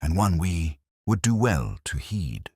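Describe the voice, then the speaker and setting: A man voicing a grave, brooding, cerebral detective in a storybook reading, speaking in measured, solemn aphorisms. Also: sits low, sing-song, dark tone, husky, no echo, clean studio sound, full character voice. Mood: grave, soft.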